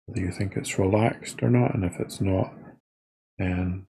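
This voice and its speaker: A man with a soft, husky voice leading a guided body scan meditation in an informal, unscripted, halting way. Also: sits low, steady tone, slight background noise. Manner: hesitant, halting delivery with trailing phrases.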